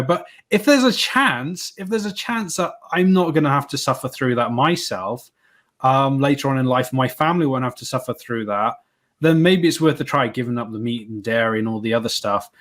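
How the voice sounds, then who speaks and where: A man's voice: medium pitch, fairly bright tone. A man from Wales, a podcast guest, telling stories in an easygoing, self-deprecating way and laughing now and then. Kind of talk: conversational interview answers.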